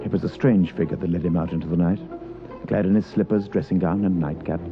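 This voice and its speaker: A man's voice: low voice, fairly even intonation, fast. A man narrating a scripted story dramatically in the style of an old radio broadcast. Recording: muffled vintage radio broadcast with music.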